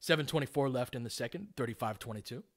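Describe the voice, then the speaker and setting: A man delivers live basketball commentary in a middle-of-the-road pitch. He uses short, clipped phrases, mixing play-by-play with informal, analytical breakdowns of the action. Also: fast.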